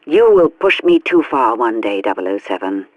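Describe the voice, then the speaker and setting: A woman's voice: extremely low pitch, sing-song, normal speed, no echo, dull audio, heavily acted. An actress playing a stern, exasperated female spy chief, heard through a radio effect in a video game.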